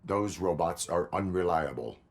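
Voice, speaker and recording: An actor playing a stoic cyborg police officer in a video game, speaking in a terse, deadpan, mechanical way. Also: male voice, deep voice, moderate pace. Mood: grave.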